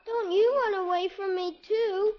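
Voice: very high-pitched, fairly even intonation, measured pace. A performer playing a small, scared, lost little boy in a radio sitcom, plaintive and simple in speech. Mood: pleading. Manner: plaintive, simple short answers. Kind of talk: 1950s radio sitcom acting. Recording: dull, very noisy 1950s radio recording.

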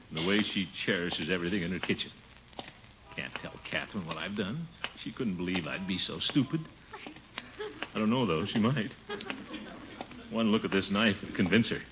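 An actor playing a pompous, easily flustered middle-aged uncle in a 1950s radio comedy, blustering and muttering asides. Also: male voice, low-pitched, normal speed, pauses often, close to an everyday voice. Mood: flustered, defensive. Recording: muffled, noisy old radio recording.

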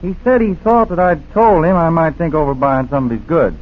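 A man's voice: on the high side, fairly quick, close to an everyday voice. An actor playing an earnest, plain-spoken male burglary victim answering questions in a 1940s radio drama. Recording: husky, narrow-band 1940s radio recording.

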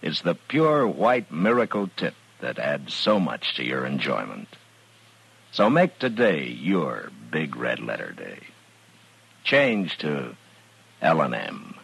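A man with an extremely deep voice reads a vintage 1950s radio commercial. The tone is husky and gravelly, and the delivery is slow but swings expressively in pitch. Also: big pitch swings, fairly bright tone. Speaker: cigarette ad spokesman.

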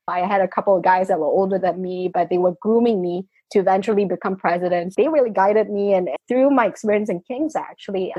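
A husky-voiced woman in her thirties with a mixed Malaysian and British accent tells her story in an interview. She speaks earnestly and often checks in with a quick "right?". The sound is dry and close, with no room around it. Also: mid-range voice, darkish tone, no room sound, rough recording. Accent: Malaysian and British mix. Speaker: interview guest. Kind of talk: reflective interview answers.

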